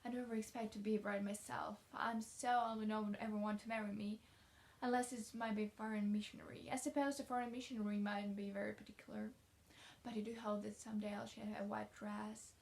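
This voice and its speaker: A woman's voice: mid-range voice, little pitch movement, fast, vocal fry, a little mellow, close to an everyday voice. A woman voicing a talkative, imaginative young orphan girl from a storybook, effusive and dreamy. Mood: dreamy, gushing.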